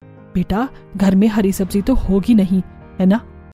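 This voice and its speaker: A woman's voice: medium pitch, steady tone, a little slow, dark tone, soft background music, natural voice. A woman voicing a stern, bossy older mother-in-law in a cartoon story, scolding and commanding. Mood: probing.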